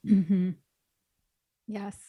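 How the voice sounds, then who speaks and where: A woman guest on a podcast, a program coach, chats casually and agrees eagerly with the host. Her voice has a middling pitch, and the recording is dry with no room echo. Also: level tone, extremely slow, lo-fi sound. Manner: warm agreeing, enthusiastic. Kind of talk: casual conversation.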